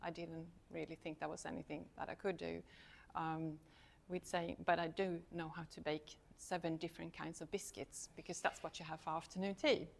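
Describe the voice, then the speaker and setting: Brief: middle-aged Swedish-accented woman, lowish voice, chatty lecturer who laughs at herself. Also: little pitch movement, normal speed, creaky. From Sweden. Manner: chatty, self-deprecating, laughs often. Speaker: university lecturer, guest speaker. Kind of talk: casual talk to a student society.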